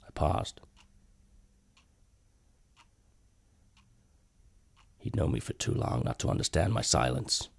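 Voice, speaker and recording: A man voicing a cynical, cold, hard-boiled cop in a brooding, terse noir story narration, with a low, gravelly voice. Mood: cynical, remorseless. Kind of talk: noir first-person scripted narration. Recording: music bed behind the voice.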